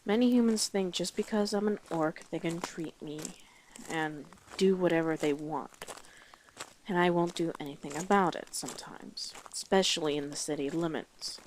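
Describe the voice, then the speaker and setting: A woman's voice: sits low, moderate pace, a little mellow, slight background noise, close to an everyday voice, low-quality recording. A woman playing a brash, teasing female orc guide in a fantasy audio roleplay, with a blunt, sardonic manner. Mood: frank, reflective.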